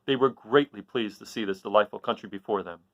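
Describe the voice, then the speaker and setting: A man reading a children's story aloud with expressive, dramatic and emphatic phrasing. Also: lowish voice, quick pace, no echo, highs cut off.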